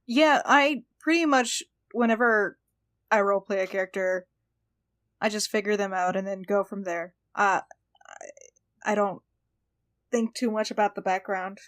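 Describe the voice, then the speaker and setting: A woman with an average-pitched voice talks casually as a podcast player. The recording is very dry and free of room sound. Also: moderate pace, pauses often, a bit bright, dry recording. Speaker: player on an actual-play podcast. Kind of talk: casual chat.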